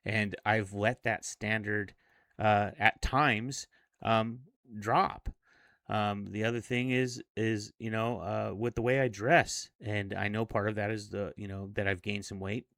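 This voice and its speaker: A man of forty speaking in a casual, reflective vlog monologue, earnest and a little halting. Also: fairly low pitch, steady tone, gravelly, fairly bright tone, no echo, clean studio sound. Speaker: self-improvement vlogger.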